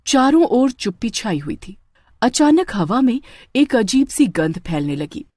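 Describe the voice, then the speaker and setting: A woman narrates a village horror story in a dramatic, scripted way. Her pitch rises and falls widely, and the recording is dry and close. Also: very animated, unhurried, darkish tone, no room sound. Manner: dramatic, with stammering in character lines.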